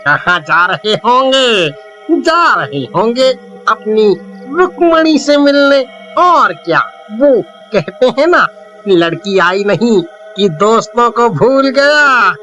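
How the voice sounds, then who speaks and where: A mocking, leering sidekick in a story drama, acted by a man. The voice is high and piercing, with drawn-out, animated taunts. Music plays lightly underneath. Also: heavily acted. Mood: teasing. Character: mocking, leering young male sidekick villain.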